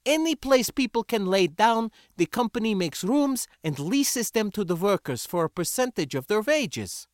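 A man voicing a scornful, quick-tempered young woman rebel in an audiobook, with emphatic, dismissive delivery. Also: sits high, very animated, no echo, studio quality, pitched above the performer's own voice. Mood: scornful, angry.

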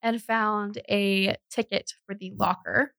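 A woman tells a true crime story in a chatty, conversational way, dropping in animated asides. Her voice has a noticeable creak at a middling pitch, and the sound is very dry, with little room around it. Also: slow, no echo. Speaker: true crime podcast host.

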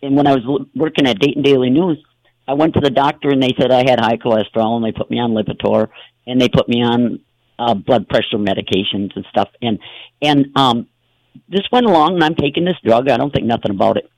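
A man's voice: mid-range voice, fairly quick, no echo, low-quality recording. A man hosting a talk show, telling stories in a rambling, casual way with many fillers and restarts. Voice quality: gravelly, husky.

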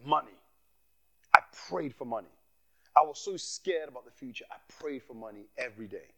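A man's voice: mid-range voice, animated, fairly quick, creaky, sharp tone. A man from Nigeria preaching as a guest speaker, passionate, often repeating his phrases and laughing at himself. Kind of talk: sermon with personal testimony.

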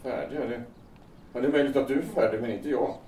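A man's voice: medium pitch, dark tone, close to an everyday voice. A man acting in an audio drama as a despairing, guilt-ridden man, agitated and bitter. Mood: desperate, challenging. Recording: roomy, distant, noisy amateur-sounding recording.

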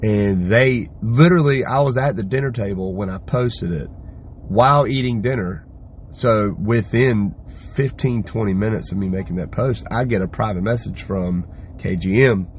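A hoarse man hosting a podcast, talking through a loose, rambling solo update and swearing casually. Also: lowish voice, normal speed, slight background noise. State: hoarse, lost voice from yelling. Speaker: podcast host.

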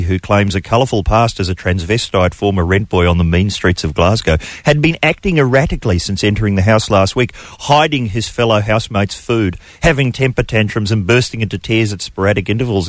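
Dry-humoured Australian radio host. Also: male voice, lowish voice, a little fast, few pauses, dry recording. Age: middle-aged. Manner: dry, reads news items aloud with wry asides. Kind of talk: casual radio banter.

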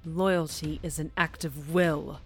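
A woman playing a zealous, bitter loyalist wounded by betrayal in an audio drama, speaking with measured, reproachful menace. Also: sits low, fairly even intonation, breathy edge, exaggerated voice. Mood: bitter, coaxing menace. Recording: music under the voice.

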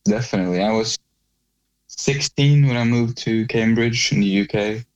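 A Norwegian man speaking English as a second language in a casual interview, often pausing to search for words. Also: medium pitch, lo-fi sound. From Norway. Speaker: guest, singer-songwriter. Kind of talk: casual conversation.

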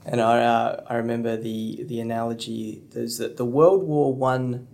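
A man who is a strategy consultant and podcast guest, talking in an animated, conversational way. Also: medium pitch, normal speed, vocal fry. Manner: animated, storytelling with acted quotes. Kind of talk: conversational storytelling.

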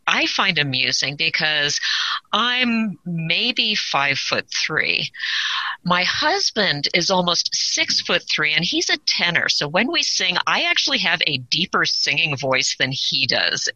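A woman's voice: fairly low pitch, sing-song, slow pace, sharp tone, dry recording. A chatty, upbeat Californian woman talking casually as an interview guest, often amused at herself. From Southern California, United States. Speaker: podcast guest, author. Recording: dry, slightly dull audio.

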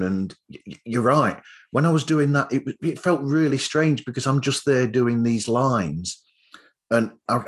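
A man hosting a casual podcast interview, speaking in a halting, conversational way and often repeating his opening words. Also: mid-range voice, husky. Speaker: podcast host.

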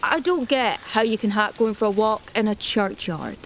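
An actress playing an outspoken teenage great-granddaughter in an audio drama, with casual, blunt, questioning speech. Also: female voice, mid-range voice, expressive, moderate pace, slight background noise, natural voice. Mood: casual, uneasy. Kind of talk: naturalistic audio drama acting.

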